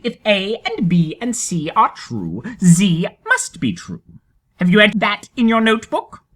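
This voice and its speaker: A teasing storybook tortoise, played by a man, pitched high with big swooping intonation. It speaks precisely and politely, with a stubborn, innocent-sounding insistence. The voice is a little husky. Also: sing-song, a little slow, fairly bright tone, full character voice. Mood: thoughtful, stubborn. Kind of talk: dramatic storybook reading.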